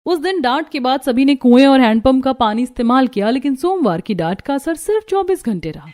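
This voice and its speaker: A woman's voice: high voice, expressive, a little fast, a little mellow. A woman narrating a scripted Hindi cartoon story as its storyteller.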